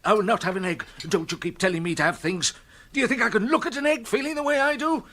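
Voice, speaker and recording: An actor playing a dyspeptic, henpecked middle-aged English husband who grumbles and groans meekly in a comic radio drama. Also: male voice, high-pitched, quick pace, pushed and bright. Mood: peevish moaning. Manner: complaining, groaning, meek before his wife.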